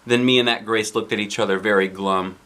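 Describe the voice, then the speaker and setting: A man reading a children's book aloud as a dad, with playful, expressive, theatrical delivery. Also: on the low side, fairly quick. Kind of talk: animated children's storybook narration.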